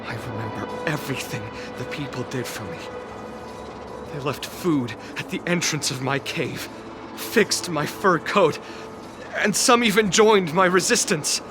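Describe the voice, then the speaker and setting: A weary male warrior in a dramatic game scene. He speaks with earnest, measured resolve, an idealist who will not give up. Music plays clearly behind him. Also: on the high side, lively intonation. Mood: pained, determined. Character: stranded male warrior, weary, steadfast, idealistic resistance fighter. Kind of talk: dramatic video game voice acting.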